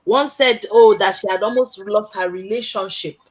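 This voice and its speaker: A woman hosting a casual career chat, telling stories in an animated way, laughing often and tagging her points with "right?". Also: medium pitch, moderate pace. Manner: emphatic, tags points with "right?". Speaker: host.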